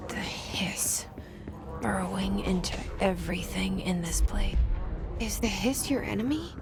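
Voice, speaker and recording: An actress playing a guarded young woman in a video game, speaking her private thoughts in a hushed, wary, intimate voice. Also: mid-range voice, unhurried, vocal fry. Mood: intense, musing. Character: guarded young woman, inward-voiced secret agency director. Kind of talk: interior monologue in cinematic game acting. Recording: loud music behind. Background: music.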